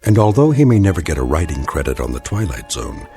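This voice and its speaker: A man narrating an uncanny anthology drama in a droll, measured, formal and faintly ominous way. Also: a bass voice, sing-song, mellow, faint music behind, exaggerated voice. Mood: wry.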